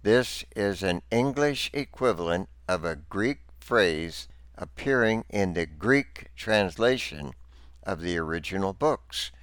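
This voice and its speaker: A man delivering scripted religious teaching narration and scripture readings in a formal, deliberate, instructive manner. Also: deep voice, animated, a bit bright, no room sound.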